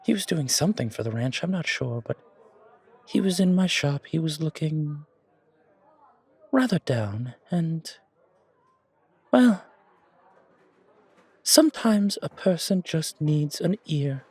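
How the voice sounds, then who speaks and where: A man voicing a refined, gracious and quietly enigmatic confidant in an audio roleplay, with polished, deliberate, theatrical phrasing. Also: fairly high pitch, big pitch swings, breathy edge, dry recording, full character voice. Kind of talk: fan audio roleplay acting.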